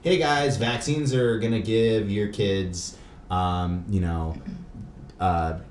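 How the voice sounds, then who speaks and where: A low-pitched man talks as a podcast host in a casual, rambling, questioning way. The room rings noticeably around his voice, with faint noise behind it. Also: fairly even intonation, slow. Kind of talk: casual conversational interview talk. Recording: roomy echo with faint background noise.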